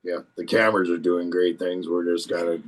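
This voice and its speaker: A man with a deep, gravelly voice, chatting politely with many pauses and fillers. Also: level tone. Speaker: guest's partner. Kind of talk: casual chat.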